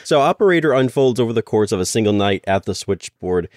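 Male podcast host, low pitch, lively intonation, casual interviewing. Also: fairly quick, dry recording, clean studio sound.

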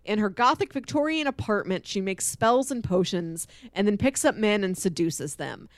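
A woman co-hosts a casual podcast with an enthusiastic, expressive delivery. Her voice sits at a middle pitch for a woman. The sound is dry, with very little room in it. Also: moderate pace, dry recording. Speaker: podcast co-host. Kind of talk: casual chat with read-out film pitches.

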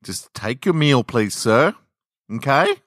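Man putting on an insistent, higher-pitched counter girl. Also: very animated, takes it slowly, fairly bright tone, dry recording, clean studio sound, heavily acted. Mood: firm, dismissive. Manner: overpolite, insistent customer-service tone. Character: young female fast-food counter worker, firm, overpolite, dismissive.

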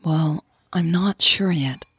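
Woman narrator playing shy easygoing woman, audio romance, husky, soft, hesitant, dry sound. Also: low voice, takes it slowly, no room sound, exaggerated voice. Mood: casual, tentative. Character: shyly joking, easygoing woman in love, Emily.

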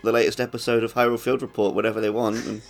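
A man's voice: lowish voice, flat delivery, rapid-fire, faint music behind. A man co-hosting a casual gaming podcast, chatting in a jokey way and slipping into little mimicked quotes. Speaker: podcast co-host.

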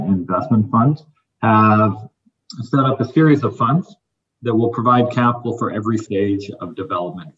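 A man speaking as a mining company executive on a panel, measured and businesslike. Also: fairly low pitch, muffled recording. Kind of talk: panel discussion.

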